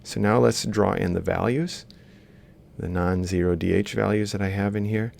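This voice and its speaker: A man's voice: on the low side, fairly even intonation, moderate pace, creaky, a little mellow, slight background noise. A man lecturing informally, thinking aloud as he explains step by step while drawing. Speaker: robotics lecturer.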